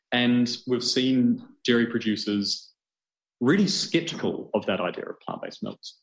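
A New Zealand man, a journalist guest, explains things conversationally and with enthusiasm. His voice is fairly low, and the recording is echoey and roomy. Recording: roomy, echoing sound.

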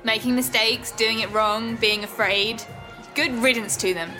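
A woman's voice: on the high side, fairly even intonation, measured pace, sharp tone, echoey room, faint music behind, poor audio. An actress playing a wronged, dry and frank young British woman in a naturalistic audio drama. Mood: wry. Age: young adult.

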